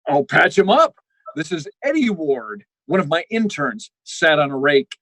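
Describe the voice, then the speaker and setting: An actor playing a sardonic doctor in vintage radio comedy, full of dry insults and prone to exasperated sputtering. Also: male voice, fairly high pitch, very animated, a little slow, a bit bright, slight background noise, poor audio. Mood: dry, wry.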